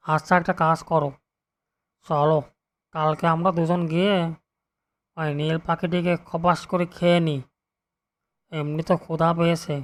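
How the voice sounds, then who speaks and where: A man's voice: fairly high pitch, flat delivery, normal speed, long pauses, natural voice. A man voicing a sly, greedy fox in a children's cartoon, with a coaxing, scheming manner. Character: sly greedy wicked male fox plotting a meal.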